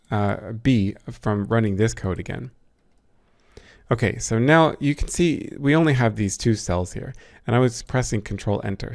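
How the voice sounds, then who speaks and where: A man's voice: fairly low pitch, creaky, darkish tone, dry recording. A man patiently walking beginners through a coding tutorial step by step, with dry little asides. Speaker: instructor. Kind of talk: screen-recorded coding tutorial.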